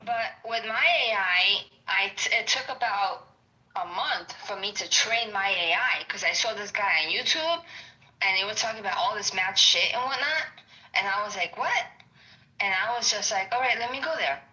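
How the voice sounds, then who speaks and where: A woman from New York with a New York accent, chatting in an excited, rambling way and swearing casually. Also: medium pitch, normal speed, rough recording. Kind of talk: casual chat.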